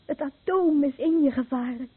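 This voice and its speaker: A woman playing a frightened young wife recoiling from her stricken husband, hushed and intense, in a Dutch radio play. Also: sits high, moderate pace, close to an everyday voice. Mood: wary, uneasy. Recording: dry, narrow-band old radio recording.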